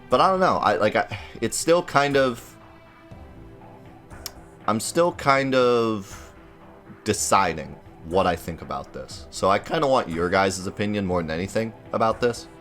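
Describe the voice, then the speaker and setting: Casual gaming host, male, lowish voice, stuffy nasal from a cold, rambly and hesitant. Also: fairly bright tone. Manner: hesitant, rambling, lots of fillers, gripes. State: congested, a little nasal from a cold. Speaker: let's play host. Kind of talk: casual gaming commentary to viewers.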